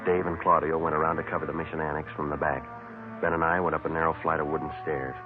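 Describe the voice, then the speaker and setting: Hard-nosed detective, very deep voice, deadpan, clipped, businesslike, vintage radio drama, muffled recording with music behind. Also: male voice, quick pace. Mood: matter-of-fact. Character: terse, businesslike police detective who narrates his case. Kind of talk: 1950s radio crime drama, scripted narration.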